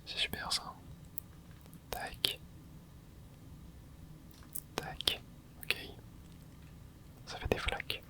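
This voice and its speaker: Young man whispering gentle gameplay commentary, hushed and soft throughout, with excited little asides. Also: very slow, pauses often. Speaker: gaming ASMR creator.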